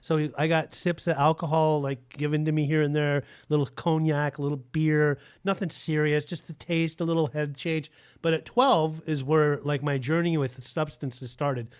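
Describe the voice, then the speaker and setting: A man's voice: fairly high pitch, level tone, moderate pace, clean tone. Earnest man telling his recovery story. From Germany, raised in Utah. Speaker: guest sharing recovery story. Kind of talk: personal testimony.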